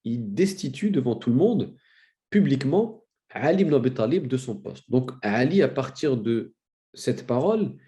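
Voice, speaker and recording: A man with a cold giving a spoken lecture, telling a story with many fillers and restarts and rising in pitch for emphasis. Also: sing-song, moderate pace, warm tone. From France. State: has a cold. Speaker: lecturer on religious history.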